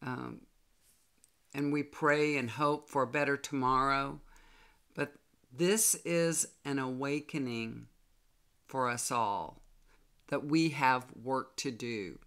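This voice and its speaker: A middle-aged woman, a tarot reader, speaking slowly and earnestly to her webcam from a small room at home. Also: rumbling low, a bit bright. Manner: slow, earnest and solemn. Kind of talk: direct-to-camera spiritual message.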